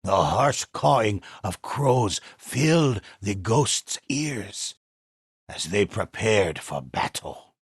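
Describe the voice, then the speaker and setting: An actor playing an older, solemn but inviting storyteller who recounts ghostly samurai legends in a theatrical, gravelly, husky voice for a video game. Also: male voice, lowish voice, big pitch swings, no room sound, studio quality, full character voice. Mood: ominous, solemn. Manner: measured, theatrical, savoring words. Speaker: storyteller narrator. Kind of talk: dramatic scripted tale-telling.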